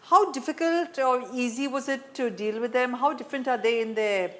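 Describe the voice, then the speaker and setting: A woman's voice: sits high, moderate pace, fairly bright tone. A woman with an Indian accent hosting a conversational interview, phrasing her questions hesitantly. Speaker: interview host.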